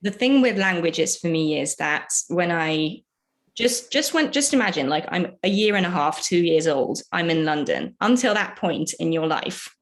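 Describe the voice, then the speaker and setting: Young woman from hungary, kinda low voice, guest on a podcast, chatty, keeps hunting for words. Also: animated, moderate pace. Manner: chatty, searches for words with many fillers. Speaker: podcast guest, dancer and choreographer. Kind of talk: casual interview chat.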